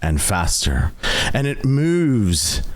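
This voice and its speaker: A man working as game master, narrating a tabletop game in a theatrical, suspenseful, cinematic style with deliberate pauses. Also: medium pitch, very animated.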